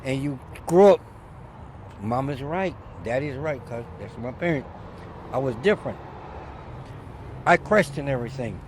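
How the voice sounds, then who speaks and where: A middle-aged man from Barbados, a former barber, telling stories in an animated, emphatic way during a casual outdoor interview by a street. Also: mid-range voice, a little slow, long pauses. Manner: animated storyteller, loud emphatic bursts. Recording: faint street noise outdoors.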